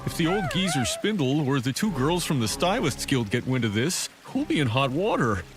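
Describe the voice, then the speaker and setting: An actor playing a timid, slow-witted henchman in a video game, hesitant and stammering. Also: mid-range voice, very animated, faint music behind, natural voice. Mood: nervous. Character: timid dim-witted nervous male henchman. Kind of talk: theatrical game cutscene voice acting.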